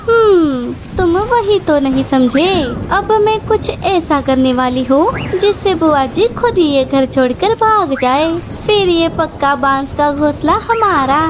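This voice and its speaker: A woman's voice: high-pitched, lively intonation, slow, hardly any pauses, noisy background. A woman voicing a scheming, bossy female crow wife in a children's cartoon. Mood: sly. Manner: sly, bossy. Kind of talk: Hindi children's cartoon dialogue.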